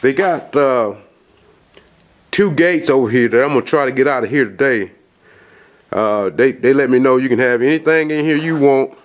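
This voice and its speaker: A man with a cold and a nasal voice, filming a folksy, rambling walkthrough of a dark house basement on a handheld camera, with lots of fillers and restarts. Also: medium pitch, normal speed. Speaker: outdoors video host. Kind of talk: casual vlog walkthrough.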